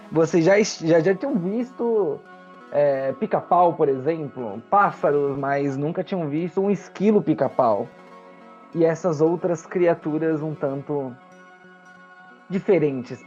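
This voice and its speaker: Higher-voiced Brazilian man narrating over music. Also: mellow. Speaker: game master. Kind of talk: narrating a tabletop fantasy roleplaying game. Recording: dull lo-fi audio under music. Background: background music.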